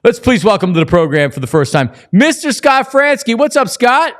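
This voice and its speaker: Male sports talk host, casual and wordy, highly expressive swings in pitch. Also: normal speed.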